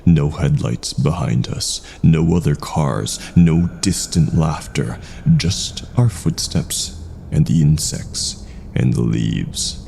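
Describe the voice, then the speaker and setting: Male horror narrator: dark, mellow tone, very slow and suspenseful, heavy vocal fry. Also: rumbling low, a little hiss or hum. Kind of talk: scripted first-person horror narration.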